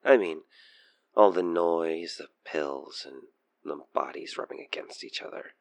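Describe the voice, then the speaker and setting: A man's voice: low-pitched, measured pace, creaky, no room sound. A man playing an obsessed, taunting stalker killer in an intimate audio roleplay, theatrical and teasing as he slips between murmurs and whispers. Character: obsessed male stalker killer, playfully menacing, infatuated, taunting.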